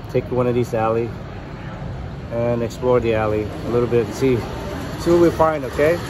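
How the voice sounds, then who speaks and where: Man narrating a street walk over traffic. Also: mid-range voice. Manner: rambling, pointing things out, frequent restarts. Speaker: walking-tour vlogger. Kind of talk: casual walking-tour narration. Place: outdoors on a busy city street. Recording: clear street traffic noise. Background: passing scooters and city traffic.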